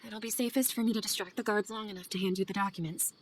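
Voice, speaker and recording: A woman's voice: mid-range voice, fairly even intonation, quick pace, lightly acted. An actress voicing a weary, anxious female scholar in video game dialogue, earnest, hesitant and confessional in manner. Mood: cautious, assuring. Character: guilt-ridden female scholar, weary, anxious assistant to sage. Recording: game audio over clear ambient noise.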